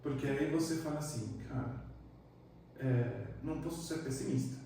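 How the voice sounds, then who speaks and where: A man from Argentina, a psychiatrist guest talking in a relaxed, reflective way with many fillers. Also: medium pitch, moderate pace, slight background noise. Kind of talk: casual interview chat. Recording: echoey room, distant mic.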